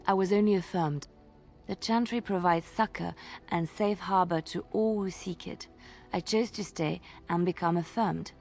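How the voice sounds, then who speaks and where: A woman acting as a young lay sister and former minstrel in a fantasy game. She speaks slightly low for a woman. Her delivery is gentle, measured and lilting, devout yet playfully secretive. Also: faint music behind, lightly acted. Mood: teasing, coy.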